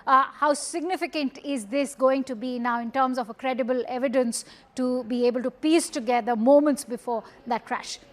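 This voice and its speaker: High-pitched Indian woman news anchor. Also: moderate pace.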